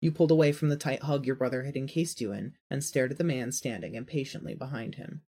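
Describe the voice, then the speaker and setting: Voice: mid-range voice, quick pace, dark tone, breathy edge. A narrator reading a scripted second-person story for an audio drama.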